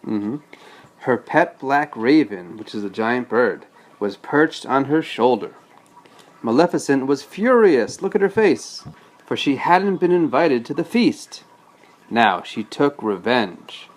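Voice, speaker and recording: A man's voice: mid-range voice, animated, takes it slowly. A man reading a storybook aloud as a father, patiently breaking off to explain things casually to a child.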